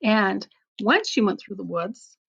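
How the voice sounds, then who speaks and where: Slow, expressive woman storyteller. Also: medium pitch, vocal fry, breathy edge, muffled recording. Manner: simple phrases with deliberate pauses for children. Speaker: teacher reading aloud to children. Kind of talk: storybook read-aloud with explanatory asides.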